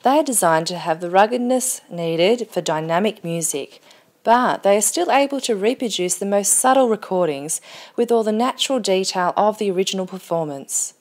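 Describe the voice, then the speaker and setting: Female narrator for a product promo, scripted read at an ordinary mid-range pitch. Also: expressive, moderate pace, darkish tone.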